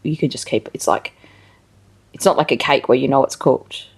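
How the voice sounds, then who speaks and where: A woman's voice: very deep voice, moderate pace, slightly rough. A woman hosting a casual interview, phrasing her thoughts in a tentative, rambling way. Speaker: interview show host, musician. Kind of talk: casual chat.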